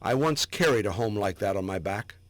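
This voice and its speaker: A man's voice: lowish voice, animated, a little fast, no room sound, natural voice. A man giving a dramatic reading as a proud war veteran looking back on his service. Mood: wistful. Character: proud, remembering male Vietnam war veteran. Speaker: reader.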